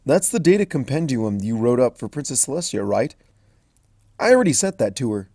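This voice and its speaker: A man's voice: mid-range voice, big pitch swings, fairly quick, warm tone, no room sound, clean studio sound, voice pushed up. A man voicing a laid-back, flippant young male baby dragon who drawls and teases. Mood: casual.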